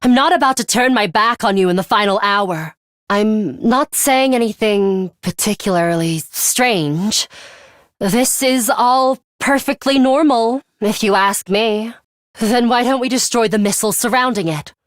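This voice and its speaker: A woman voicing a cold, aloof young woman fighter in a video game, with a composed, formal, deadpan and disdainful manner and a bloodthirsty streak. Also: fairly high pitch, measured pace, no room sound, studio quality, natural voice. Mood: resolute. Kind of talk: English video game voice acting.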